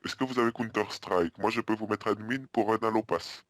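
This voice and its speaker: A man playing an earnest, muddled hotel guest on a prank phone call, hesitant, repetitive and politely deadpan. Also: on the low side, fairly quick, no echo, studio quality, lightly acted. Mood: deadpan, insistent. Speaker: prank caller.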